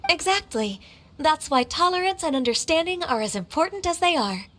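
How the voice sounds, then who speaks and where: Casting: modest, polite young heroine, very high, gentle delivery for a fantasy game. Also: female voice, lively intonation, moderate pace. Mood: reassuring. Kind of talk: video game voice acting. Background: music.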